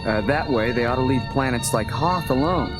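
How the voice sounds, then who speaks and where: A man acting an earnest, steady young rebel starfighter pilot in a space adventure audio drama. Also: medium pitch, moderate pace, loud music behind the voice, close to an everyday voice, poor audio. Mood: focused, reassuring.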